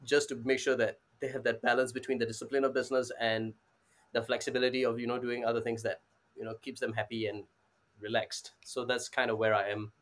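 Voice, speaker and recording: A man's voice: mid-range voice, flat delivery, brisk. Young man chatting casually. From Singapore. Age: 20s. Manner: informal, repeats "you know". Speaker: interview guest, startup advisor. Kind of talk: casual conversational interview answers.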